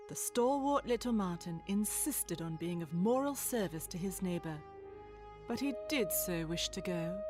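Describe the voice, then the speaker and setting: Storybook woman narrator over music. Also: mid-range voice. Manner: literary, measured storytelling.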